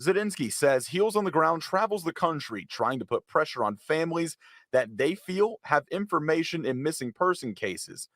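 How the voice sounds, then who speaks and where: A man narrates a scripted news report. His voice is a little higher than most men's, and the sound is dry, with no room around it. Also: sing-song, normal speed, a bit bright, dry recording.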